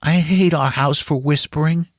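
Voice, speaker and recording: A man voicing an anxious, intense young boy in a storybook reading, speaking high and strained. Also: fairly even intonation, normal speed, no echo, clean studio sound, lightly acted.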